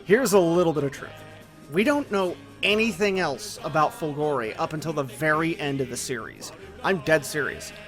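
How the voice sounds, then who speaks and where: Higher-voiced man narrating over music. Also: expressive, moderate pace, a bit bright. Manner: casual, conversational, with colloquial asides. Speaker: video essay host. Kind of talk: scripted video essay narration on anime. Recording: music behind the voice.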